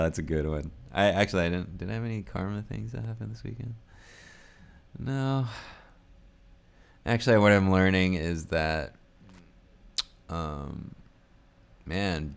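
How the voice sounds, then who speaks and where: A man's voice: rumbling low, creaky. A man in his forties, born in the United States, musing aloud in a rambling, unscripted vlog and laughing often. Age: 40s. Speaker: vlogger. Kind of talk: casual unscripted vlog talk.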